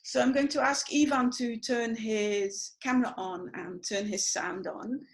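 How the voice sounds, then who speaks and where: A woman's voice: fairly high pitch, measured pace. A woman hosting an online symposium over a video call, chatty and full of small fillers. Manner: chatty, storytelling, many fillers. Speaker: symposium host.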